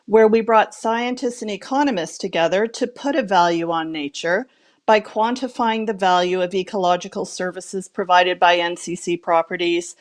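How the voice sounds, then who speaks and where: A middle-aged woman on a video call with earphones, giving measured, articulate opening remarks as a panelist from a room at home. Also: lowish voice. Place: home room with shelves, indoors.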